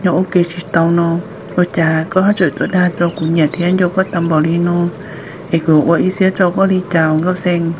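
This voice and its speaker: A man's voice: high voice, little pitch movement, brisk, noisy background, natural voice. A man voicing a young man courting a dragon maiden in an amateur cartoon dub, speaking in short, repeated phrases. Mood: earnest.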